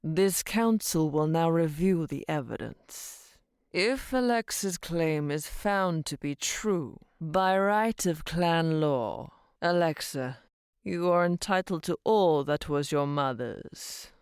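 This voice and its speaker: A woman's voice: sits low, takes it slowly, darkish tone, smooth, no echo, clean studio sound. An actress playing the stern, authoritative female head of a vampire council in an audio drama, speaking formally and with command.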